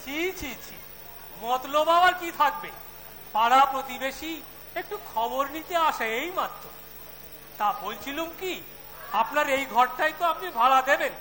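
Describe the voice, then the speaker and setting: A man acts a vain, flattering middle-aged neighbour in an amateur stage play. The voice is sharp and very high, hesitant and wheedling. There is hall reverb and a faint noise. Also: moderate pace, full character voice. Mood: ingratiating. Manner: hesitant, ingratiating. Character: dandyish, wheedling middle-aged male neighbour, quick to take offence. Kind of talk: amateur stage audio-drama acting. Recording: echoing hall, faint hiss or hum.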